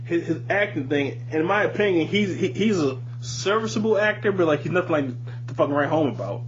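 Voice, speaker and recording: Man, higher-pitched voice, informal music podcast talk, opinionated, swears freely, dull audio with faint hum. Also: animated, brisk. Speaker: podcast host. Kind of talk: casual music discussion.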